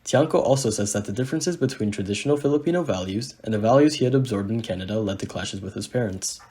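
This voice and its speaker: A man reading a scripted narration aloud in an even, read-out manner. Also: fairly low pitch, fast talker. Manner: read-aloud, evenly paced.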